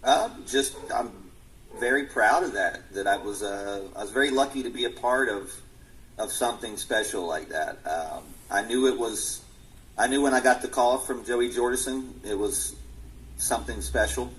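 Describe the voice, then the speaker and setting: A man's voice: mid-range voice, a bit bright, slight background noise, rough recording. A man in his forties, a musician answering interview questions earnestly, often restarting his sentences. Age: 40s. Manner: earnest, halting with many restarts. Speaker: musician guest.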